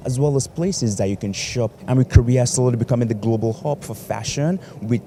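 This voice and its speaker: A man hosting street interviews and pitching a travel guidebook in a smooth, promotional manner. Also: brisk, warm tone, a little hiss or hum. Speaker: host.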